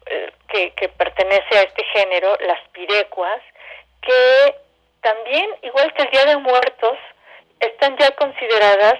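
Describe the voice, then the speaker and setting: A woman answering as an interview guest, halting as she searches for words, over a remote call. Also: fairly high pitch. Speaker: interview guest, theatre director. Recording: dull lo-fi radio call line.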